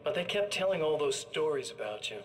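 An actor playing a man's smug, menacing evil double in a video game, with a taunting, theatrical, drawn-out delivery. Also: a little high, sing-song, distant mic, a little hiss or hum, rough recording. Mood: gloating. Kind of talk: video game villain monologue.

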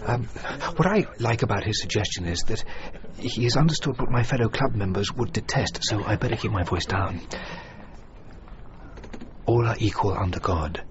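An actor playing an earnest, self-doubting male architect in a radio drama, with a halting, thoughtful delivery. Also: on the low side, muffled recording, a crowd in the background, natural voice. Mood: quietly approving. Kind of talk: BBC radio drama acting.

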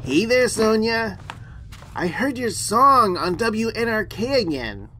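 A woman's voice: fairly high pitch, big pitch swings, slow, pushed and bright, slight background noise, heavily acted. A woman playing a friendly, easygoing character in an audio drama. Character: friendly, easygoing adult woman called Datu.

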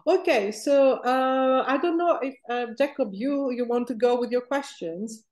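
A woman with a fairly high voice conducts an informal interview over a video call. She puts her questions conversationally from a room at home. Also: unhurried, a little mellow.

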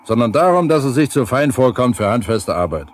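Man acting older work-crew foreman, gruff, scornful, colloquial, mid-pitched, faintly noisy 1970s radio drama. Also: big pitch swings, fairly quick, lightly acted. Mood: scornful, reproachful. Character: gruff, reproachful older foreman near retirement.

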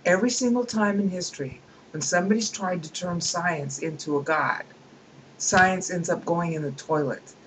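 A woman's voice: fairly low pitch, moderate pace, slight background noise, poor audio. A woman reading a book aloud at her computer and breaking off into emphatic, scornful commentary. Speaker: reader and commentator.

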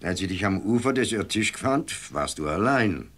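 An actor playing a grave, kindly plain-spoken rescuer in a radio play, with a raspy voice. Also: male voice, fairly low pitch, animated, moderate pace, fairly bright tone. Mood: grave, caring. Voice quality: raspy, creaky. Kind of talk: German radio play acting.

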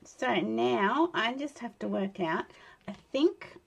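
Australian woman, croaky and tired, slow chatty card-making demo, gravelly husky tone, mutters while working. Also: a little high, animated, muffled recording. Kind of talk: casual crafting tutorial chat. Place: indoor craft desk, overhead camera.

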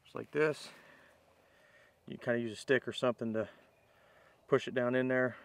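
A man's voice: medium pitch, fairly even intonation, moderate pace. A man giving a casual step-by-step bushcraft tutorial outdoors, explaining in a plain-spoken, informal way. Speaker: bushcraft demonstrator, host. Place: outdoors in woodland.

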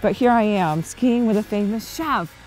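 A woman's voice: mid-range voice, moderate pace, a little mellow, soft background music, poor audio. A woman host in her thirties, introducing and interviewing outdoors on a ski chairlift, upbeat and friendly. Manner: upbeat, laughs often, friendly. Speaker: host, former ski racer. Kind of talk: presenter intro and casual interview.

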